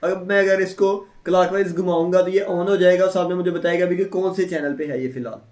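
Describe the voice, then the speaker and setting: A man's voice: high-pitched, fairly even intonation, a little fast, a little mellow. A man giving a casual, step-by-step product unboxing and demonstration, often checking in with small tag phrases as he explains. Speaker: product reviewer. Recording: echoey room.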